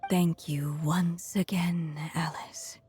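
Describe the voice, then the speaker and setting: A woman voicing a dying, solemn, motherly storyteller in a video game, speaking softly and breathily. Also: fairly low pitch, fairly even intonation, soft background music. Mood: weary, gentle. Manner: soft, breathy, measured.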